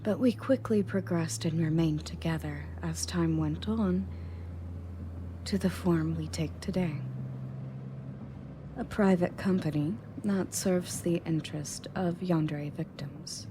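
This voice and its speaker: A woman's voice: on the low side, slow. A woman playing a confident, matter-of-fact rescue agent in an audio roleplay, speaking conversationally to the listener. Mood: matter-of-fact briefing. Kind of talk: scripted audio roleplay, in-character monologue to listener. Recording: clearly audible background noise and ambience.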